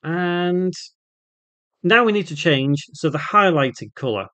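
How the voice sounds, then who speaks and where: Man presenting tutorial, slightly higher-pitched voice. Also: expressive, takes it slowly, pushed and bright. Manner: instructional, thinking aloud. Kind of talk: unscripted step-by-step software tutorial voice-over.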